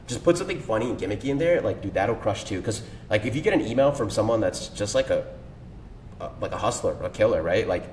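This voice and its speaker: Man with a low voice, distant, echoey. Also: lively intonation, fast, darkish tone. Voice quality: slangy, filler-heavy, enthusiastic. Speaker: cold email agency owner, guest. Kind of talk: casual podcast chat. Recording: echoey room, distant mic, faint rumble.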